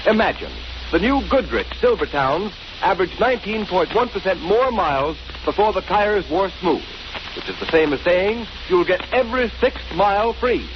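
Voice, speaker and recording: A man's voice: high voice, lively intonation, moderate pace. An American man announcing in 1930s radio advertising style, with an emphatic, polished sales delivery. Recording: muffled, noisy 1930s radio recording.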